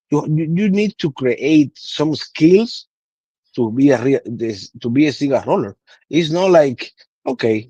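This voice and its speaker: A man's voice: medium pitch, warm tone, no room sound, lo-fi sound. A man in his thirties from Cuba, with a Cuban Spanish accent and Spanish as his first language, explaining patiently in broken English in a casual interview. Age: 30s. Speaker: guest cigar blender.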